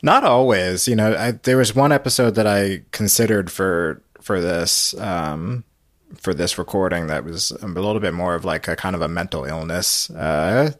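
Very deep-voiced man hosting a podcast. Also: moderate pace, a bit bright, no room sound, studio quality. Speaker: podcast host. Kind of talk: casual podcast talk.

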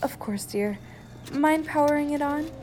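Woman acting a high-voiced, sensible scientist wife. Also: unhurried, a little mellow, a little hiss or hum. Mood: fond. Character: caring, sensible female scientist, inventor's wife and mother. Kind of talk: audio drama acting.

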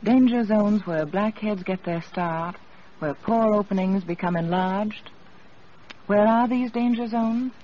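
High-pitched vintage radio ad announcer. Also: male voice, a little slow. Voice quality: earnest, persuasive announcer delivery. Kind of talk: vintage radio commercial read. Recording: dull old radio broadcast with hiss.

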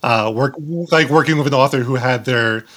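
A man hosting a casual interview, talking haltingly and repeating words as he gropes for phrasing. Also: mid-range voice, fairly bright tone. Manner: halting, stammering word repetitions. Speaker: podcast host.

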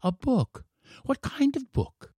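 Man putting on a high-pitched, cheeky little schoolboy. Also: huge pitch swings, slow, dark tone, husky, no echo, clean studio sound, heavily acted. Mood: eager. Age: child. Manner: blurting, insistent, questioning. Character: sulky, cheeky little English schoolboy, blunt and curious. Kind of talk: storybook character voice.

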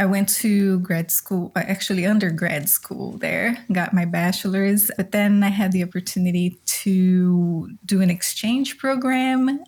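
A woman from Brazil with a Brazilian accent, a native Portuguese speaker, telling her own story casually as a podcast guest in a giggly manner. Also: fairly low pitch. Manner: giggly, often laughs softly mid-sentence. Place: video call recording.

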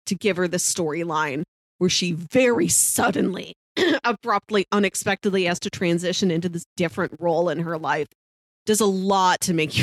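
A woman's voice: lowish voice, dry recording. A woman co-hosting a casual discussion of a TV series, recapping in an emphatic way and piling up phrases. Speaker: podcast co-host.

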